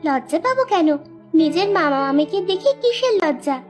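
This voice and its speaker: A woman's voice: very high-pitched, sing-song, normal speed, soft background music. A woman voicing an eager, greedy young village niece in a cartoon, in a gushing, childlike manner. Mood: eager, hungry. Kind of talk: amateur cartoon dubbing.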